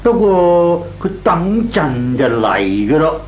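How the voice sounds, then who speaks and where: An actor playing a kindly, deliberate older gentleman with a Cantonese accent in old Hong Kong radio drama style. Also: on the high side, big pitch swings, soft background music. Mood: measured, advising. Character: kindly, measured old gentleman, advice-giving elder. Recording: old radio recording, faint noise.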